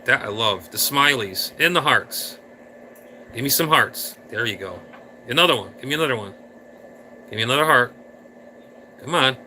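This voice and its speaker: Bright-voiced man chatting to his live viewers. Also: mid-range voice, lively intonation, moderate pace, long pauses, a little hiss or hum, rough recording. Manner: rambling, playful, talks straight to viewers. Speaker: live stream host. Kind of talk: casual live stream chat. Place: indoor room.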